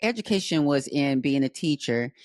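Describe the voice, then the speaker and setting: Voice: mid-range voice, animated, moderate pace, a little mellow, dry recording, studio quality. A host from the Midwest interviewing a guest in a sassy, playful way with lots of slang. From Racine, Wisconsin. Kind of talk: casual interview talk.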